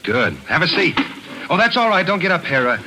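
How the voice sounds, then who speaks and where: An actor playing a wisecracking reporter who also narrates, in a 1940s radio drama, wry and sardonic. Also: male voice, high-pitched, big pitch swings, background noise. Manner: wry, sardonic, fast-talking.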